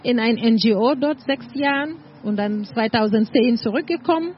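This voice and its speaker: A woman's voice: a little high, moderate pace. A middle-aged woman from Senegal with a Senegalese French accent, answering interview questions as a project coordinator, feeling her way through phrases. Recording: muffled lo-fi sound, faint noise.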